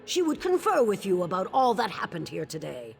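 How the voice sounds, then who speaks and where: A woman's voice: mid-range voice, huge pitch swings, a little fast, creaky. A woman playing a fierce, exultant orc warrior in a video game. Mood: triumphant, hearty. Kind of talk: video game character dialogue. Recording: long hall reverb, game music.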